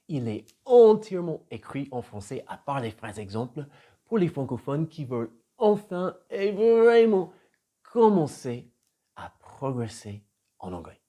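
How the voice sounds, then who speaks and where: An American man from Florida, middle-aged, teaching with lots of energy. His voice is warm and mellow, and it jumps up for emphasis and drops low again, swinging through a wide range. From United States (Florida). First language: English. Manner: animated and emphatic, swings between loud punches and soft drops. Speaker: English trainer and author. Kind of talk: motivational video lesson.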